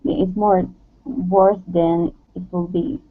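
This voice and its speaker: A woman's voice: lowish voice, little pitch movement, slow. Female student, short casual answers, narrow phone-quality sound. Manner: brief, simple replies. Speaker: student in online English class. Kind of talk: casual chat. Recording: phone-like narrowband online call.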